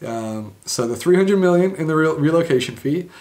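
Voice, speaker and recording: A man's voice: fairly high pitch, animated, normal speed, darkish tone. A young man hosting a sports news show from home, talking casually with many fillers, restarts and wry asides. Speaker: sports blog host. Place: home room indoors.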